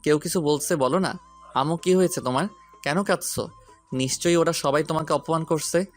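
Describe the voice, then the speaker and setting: A man's voice: on the high side, brisk, close to an everyday voice. A man plays an impulsive young man in an audio story. He pleads and challenges with stubborn insistence, fiercely protective, over background music. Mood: anxious, pleading. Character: hot-headed young man, protective son and fiance.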